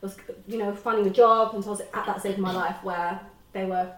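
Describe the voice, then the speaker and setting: A woman in her mid-twenties calmly sharing a personal story in a casual chat. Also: medium pitch, fairly quick. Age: 20s. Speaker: guest. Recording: roomy, from a distance.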